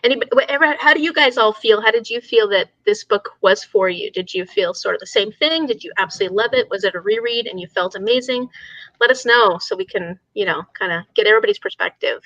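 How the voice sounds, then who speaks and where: A woman's voice: on the high side, expressive, fast, a bit bright, dry recording, lo-fi sound. A woman hosting a casual book discussion in an engaged, agreeable manner.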